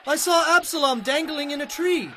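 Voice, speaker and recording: An actor playing an eager, plain-spoken soldier in a dramatized scripture audiobook. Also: male voice, very high voice, moderate pace, sharp tone, a little hiss or hum, heavily acted. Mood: indignant, earnest.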